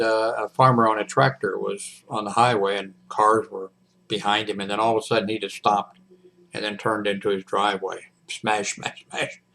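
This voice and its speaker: An older American man talking casually to his viewers in a rambling, halting monologue that often trails off and wanders between points. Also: lowish voice, gravelly, a bit bright, a little hiss or hum. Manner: digressive, halting, often trailing off mid-sentence. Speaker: hobbyist video blogger.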